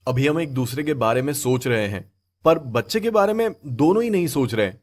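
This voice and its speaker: A man playing a hurt, dutiful young husband in an audio story, speaking in a measured, reproachful way. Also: medium pitch, lively intonation, natural voice. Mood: bitter, resolute. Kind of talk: acted Hindi audio story dialogue.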